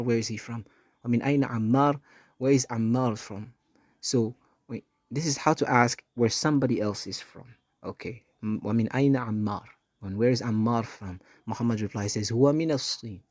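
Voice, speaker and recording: A man's voice: medium pitch, normal speed, darkish tone, no room sound, studio quality. A man teaching an Arabic lesson, explaining each rule patiently and repeating points with small fillers.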